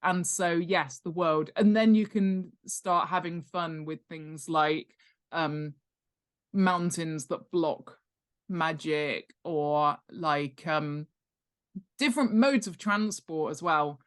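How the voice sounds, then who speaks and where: A woman's voice: low voice, takes it slowly, clean tone. A British woman chatting as an expert guest in a casual interview, explaining things in an emphatic, self-deprecating way. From United Kingdom. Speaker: guest, author and world-building expert.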